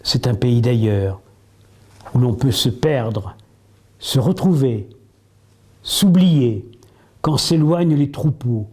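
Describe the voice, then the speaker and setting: A man recites verse solemnly in a medium-pitched, dark and relaxed voice. He moves very slowly and deliberately, setting each phrase apart with a pause. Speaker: poetry reader.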